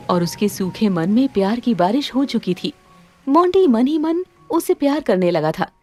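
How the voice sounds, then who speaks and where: Woman storyteller, high voice, really animated intonation, reading a moral story w/ music under it. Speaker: story narrator. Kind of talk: scripted Hindi moral story narration.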